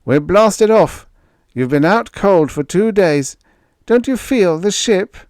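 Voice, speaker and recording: A man reading an audiobook, voicing a big, hearty spaceman in his forties with a booming, blunt, slangy way of talking. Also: animated, takes it slowly, darkish tone, dry recording, clean studio sound. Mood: breezy, blunt. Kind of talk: audiobook character voice.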